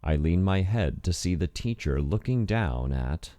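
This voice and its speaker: A man's voice: low-pitched, animated, unhurried, dark tone. A man reading scripted first-person narration for a visual novel in an introspective, wry manner. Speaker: narrator and voice actor.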